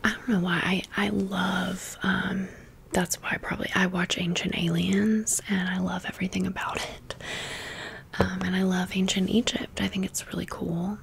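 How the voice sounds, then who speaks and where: A woman's voice: on the low side, flat delivery, moderate pace, gravelly, slight background noise. An American woman whispering in a chatty, rambling ASMR style, as a video creator chatting through a haul and story. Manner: chatty, rambling, repeats words softly.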